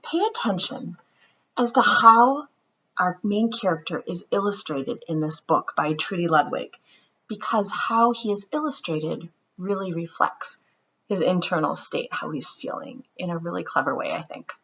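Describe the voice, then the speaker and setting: A woman reading a picture book aloud to young children in an explanatory, expressive teacher's style. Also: lowish voice, moderate pace, dull audio, slight background noise. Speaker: read-aloud host, teacher.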